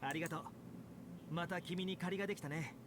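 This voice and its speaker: A woman voicing a gentle, courteous young character in an anime game, sincere and polite. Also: fairly low pitch, very fast, close to an everyday voice. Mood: grateful concern. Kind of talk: Japanese anime game voice acting. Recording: game audio under clear background noise.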